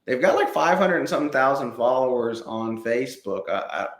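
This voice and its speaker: A man's voice: mid-range voice, big pitch swings, fairly quick. A man in his late thirties, a comedian guest chatting in an informal, conversational way. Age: late 30s. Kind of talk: casual chat. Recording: echoey, roomy sound.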